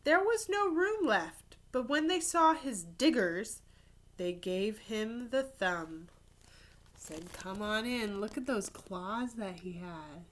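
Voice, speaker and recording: A woman teacher reading a picture book aloud to children in an expressive storytelling style, with casual explanatory asides. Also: medium pitch, slow pace. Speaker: third grade teacher reading to pupils.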